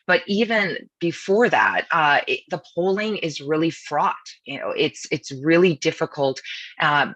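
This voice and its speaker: A woman who is a law professor, speaking with a husky voice over a video call in a media briefing and explaining things in a brisk, informal way. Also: low-pitched, fairly bright tone, dry recording. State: husky voice from nonstop talking.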